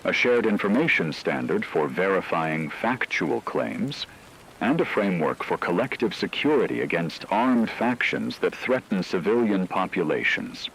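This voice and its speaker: Man acting as grave announcer, low-pitched, scripted news reporting, measured formal delivery, faint background noise. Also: poor audio. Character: grave male radio announcer reporting on global collapse.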